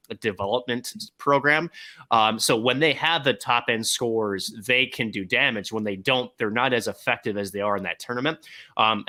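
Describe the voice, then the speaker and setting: A man with a low but bright, tense voice talks hockey in long, filler-laden monologues. His delivery is casual and sure of itself. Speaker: podcast co-host, hockey broadcaster. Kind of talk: casual sports chat.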